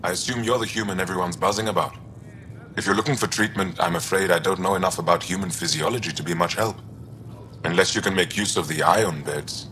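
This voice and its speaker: A courteous alien physician for a sci-fi game. The voice is low and bright-edged, the delivery measured and formal. Faint ambience sits behind it. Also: male voice, steady tone, slight background noise. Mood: measured, curious. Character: calm, courteous male alien doctor. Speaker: doctor. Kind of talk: video game dialogue.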